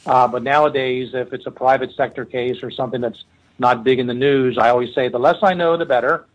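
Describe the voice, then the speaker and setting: A man's voice: medium pitch, fairly even intonation, a little fast, dull audio. Middle-aged Philly-accented man, loose rambling guest storyteller. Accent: Philadelphia. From Philadelphia. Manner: rambling, many fillers and restarts. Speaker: forensic linguist guest. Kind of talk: casual interview storytelling.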